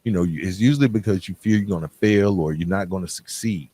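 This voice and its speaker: A man's voice: low-pitched, quick pace, warm tone. A middle-aged man hosts a motivational podcast. He talks directly to the listener in an earnest, conversational way, posing questions and checking in as he goes. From Atlanta, United States. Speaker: podcast host. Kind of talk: motivational talk addressed to the listener.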